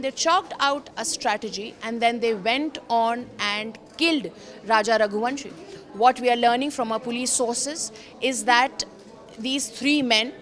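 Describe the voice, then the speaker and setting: A woman's voice: a little high, a bit bright. A woman with an Indian accent presenting a news explainer as a reporter, speaking emphatically and repeating phrases for stress. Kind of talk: news explainer commentary, semi-scripted.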